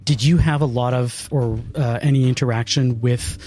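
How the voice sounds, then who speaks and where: Measured man hosting a podcast. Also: mid-range voice, steady tone, dark tone, soft background music. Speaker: podcast co-host, rabbi and writer. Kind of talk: scripted narration and interview questions.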